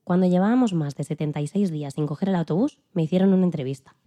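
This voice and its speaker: A woman playing a dignified elderly female civil rights activist who recalls her struggle in a calm, earnest, plain-spoken way, as scripted interview storytelling. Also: on the low side, fast, close to an everyday voice. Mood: earnest, resolute. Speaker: podcast guest in a dramatized interview. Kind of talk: scripted first-person storytelling in interview form.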